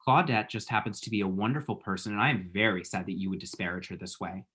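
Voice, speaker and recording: A man's voice: fairly low pitch, fast talker, a bit bright, natural voice. A man playing a flustered, lovestruck and bitchy young man, sassy and theatrical, in a screenplay table read. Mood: defensive, sassy.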